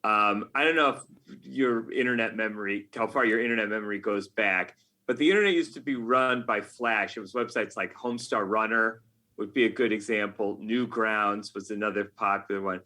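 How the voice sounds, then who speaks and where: A man with a fairly low voice and a bright, punchy edge. He talks as a guest in a relaxed interview, spinning anecdotes with joking self-deprecation. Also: normal speed. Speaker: podcast guest, game writer. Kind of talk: casual interview talk.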